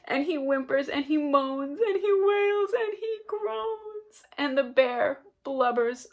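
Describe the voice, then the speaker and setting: A woman reading a picture book aloud to children, expressive, with gasps and chatty asides. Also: high-pitched, slow pace, lo-fi sound. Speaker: storybook reader.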